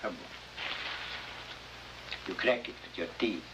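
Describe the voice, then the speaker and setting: An actor playing a smug, scheming Latin American newspaper publisher in a theatrical, oily 1950s television style. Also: male voice, on the low side, slow pace. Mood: smugly courteous. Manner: theatrical, oily, mock-courteous. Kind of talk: early 1950s TV adventure acting. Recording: noisy old 1950s television soundtrack.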